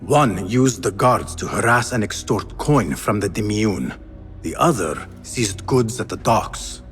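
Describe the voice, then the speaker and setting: An actor playing a guarded, determined young former thief, speaking in a terse, serious way in a video game. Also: male voice, fairly low pitch, animated, a little slow, fairly bright tone. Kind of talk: video game cutscene acting. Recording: music behind the voice.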